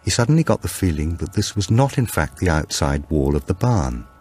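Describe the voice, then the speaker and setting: Male audiobook narrator for suspense fiction: low, husky, measured, with expressive rise and fall. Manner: measured storytelling. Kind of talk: scripted narration of a suspense novel.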